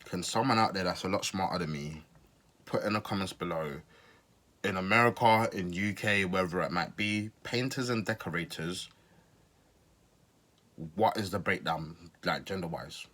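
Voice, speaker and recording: Man, podcast host from Zimbabwe, low pitch, sharp forceful tone, casual incredulous commentary. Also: normal speed. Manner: incredulous, questioning, repeats points for emphasis.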